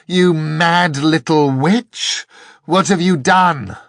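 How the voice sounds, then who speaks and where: A man's voice: mid-range voice, lively intonation, slow, no room sound, clean studio sound. A man voicing a cruel, vain fallen angel with a grandiose, theatrical manner for an audiobook. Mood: furious, shrieking. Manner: pompous, grandiose, shouting and hissing.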